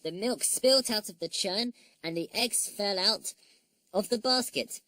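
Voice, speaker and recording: A woman voicing an eager, excitable little toy boy in a storybook reading, chirpy and earnest. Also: mid-range voice, a little slow, dry recording, pitched above the performer's own voice. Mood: earnest explaining.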